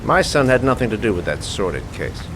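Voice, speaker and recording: A man's voice: fairly low pitch, big pitch swings, fairly quick, a bit bright, slight background noise. A cold, powerful rich father. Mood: stern. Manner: curt, menacing, controlled. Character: wealthy influential man, cold and threatening. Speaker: father warning a detective. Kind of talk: video game cutscene acting.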